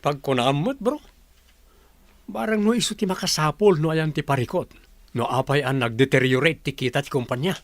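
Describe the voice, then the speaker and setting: A man's voice: a little high, normal speed, no echo, natural voice. An actor with an Ilocano accent playing a chummy, questioning male office colleague in a radio drama. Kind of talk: Filipino radio drama acting.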